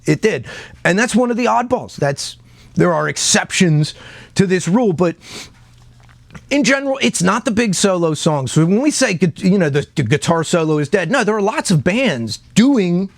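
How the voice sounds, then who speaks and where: A man's voice: high-pitched, very animated, normal speed, gravelly, no room sound. A man giving casual, unscripted commentary as a video host, conversational and often repeating words as he searches for the next one.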